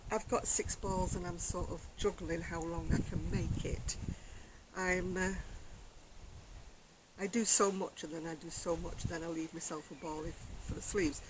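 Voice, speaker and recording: Soft-spoken older woman chatting outdoors. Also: fairly low pitch, slight background noise, rough recording. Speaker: crochet vlogger. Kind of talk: casual chat to camera. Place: outdoor deck in the sunshine. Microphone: external camera microphone.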